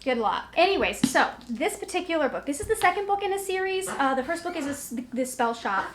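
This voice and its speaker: A woman's voice: high voice, very animated, brisk, noisy background. A woman talking casually to viewers as a book vlogger, in a rambling, conversational, self-deprecating way. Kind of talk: casual chatty vlog, recapping to viewers.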